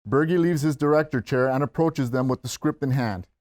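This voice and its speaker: Male narrator, mid-pitched, reading scene action for a script table read. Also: brisk, a little mellow. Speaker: stage-direction narrator.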